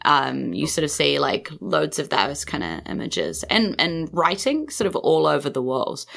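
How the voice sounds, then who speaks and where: A woman's voice: deep voice, sing-song, normal speed, creaky, fairly bright tone. A woman with a New Zealand accent improvising descriptive narration as game master of a tabletop game, in a conversational way. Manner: conversational, descriptive, frequent "you know" asides.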